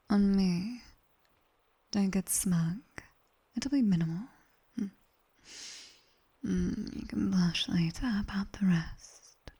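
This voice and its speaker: A sharp-tongued nightmare demoness tutor with a British accent, played by a woman, whispers in a soft, dark ASMR roleplay. Her delivery is very slow and deliberate, teasing yet commanding. The voice is breathy, with a little creak at its edges, and sits close to a binaural microphone. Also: medium pitch.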